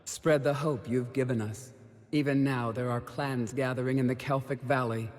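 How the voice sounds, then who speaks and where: A performer voicing a solemn, wise female alien clan leader in a video game, measured and dignified. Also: medium pitch, steady tone, big-room sound, close to an everyday voice. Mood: solemn, grateful. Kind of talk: video game cutscene acting.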